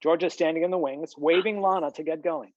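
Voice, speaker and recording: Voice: medium pitch, steady tone, fairly quick. A narrator reading screenplay stage directions in a scripted style over a video call. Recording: slightly dull video call audio.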